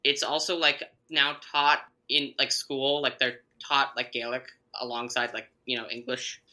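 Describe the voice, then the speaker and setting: A man's voice: mid-range voice, flat delivery, moderate pace, bright, forceful tone. A man hosting a casual political podcast, rambling with lots of fillers. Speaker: podcast host.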